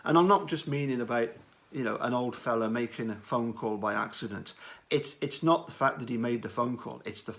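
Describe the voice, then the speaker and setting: A man giving unscripted commentary on a true-crime case, speaking in a halting, deliberate way with frequent pauses and repeated words. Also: mid-range voice, fairly bright tone.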